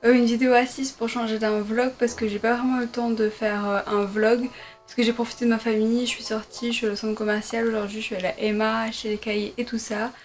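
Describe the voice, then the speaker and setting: A woman's voice: fairly high pitch, level tone, fast talker, faint music behind. A young woman, a medical student, answering viewer questions in a casual sit-down vlog from her bedroom, hesitant with many fillers and self-corrections. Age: young adult. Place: her bedroom at her parents' home.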